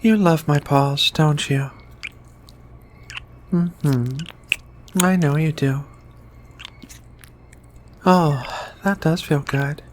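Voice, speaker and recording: A woman playing a shy, flirty, affectionate alien in a soft-spoken, hesitant ASMR roleplay. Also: deep voice, a little hiss or hum. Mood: tender, pleased. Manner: hesitant, bashful, murmuring with many pauses. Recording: quiet music behind the voice.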